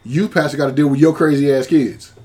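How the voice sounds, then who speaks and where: A man co-hosting a casual podcast, breaking in with animated, joking rants. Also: on the high side, quick pace.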